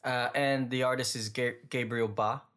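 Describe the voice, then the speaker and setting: This is a man co-hosting a podcast and settling into a relaxed chat. His voice sits at a middling pitch for a man, and he rambles through his stories with plenty of fillers and laughter. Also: normal speed, smooth. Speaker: podcast co-host. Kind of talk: casual chat.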